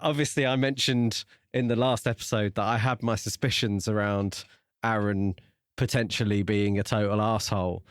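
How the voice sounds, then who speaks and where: A man's voice: fairly low pitch, steady tone, moderate pace, smooth, no echo, clean studio sound. A man co-hosting a podcast and casually discussing a book. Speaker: podcast co-host.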